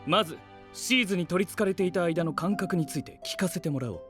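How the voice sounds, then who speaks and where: A high-voiced, formal analyst. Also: male voice, fast talker, fairly bright tone, faint music behind. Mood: intent, probing. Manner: formal, methodical questioning. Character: formal, inquisitive, analytical young male investigator. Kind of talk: anime voice drama acting.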